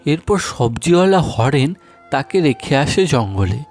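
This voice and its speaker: A man's voice: medium pitch, sing-song, measured pace, darkish tone, faint music behind. A man narrating a cartoon folk tale as a scripted storyteller.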